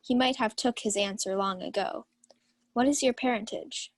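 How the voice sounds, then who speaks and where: A proud noble lady of a Shakespeare radio drama, played by a young woman. She speaks with theatrical command in a fairly high voice, recorded dry. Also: moderate pace, no room sound, lightly acted. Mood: haughty. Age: young adult. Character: Olivia, proud, imperious young countess. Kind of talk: 1940s radio Shakespeare acting.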